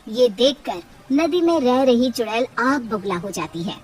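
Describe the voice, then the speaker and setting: A woman's voice: on the high side, lively intonation, measured pace, darkish tone. A woman narrator reading a scripted children's spooky story. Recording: slightly distant voice under clear music. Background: background music.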